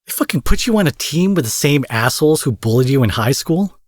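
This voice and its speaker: A rough-edged, husky male supervillain, acted by a man for an intimate audio roleplay, recorded close and dry. He is menacing yet fiercely protective, emphatic and sweary, with a coaxing, tender streak. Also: medium pitch, lively intonation, fairly quick, no echo, close to an everyday voice. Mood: angry, indignant.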